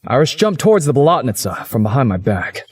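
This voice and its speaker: A man's voice: mid-range voice, very animated, quick pace, husky. A man narrating a visual novel in the first person, as a story's narrator.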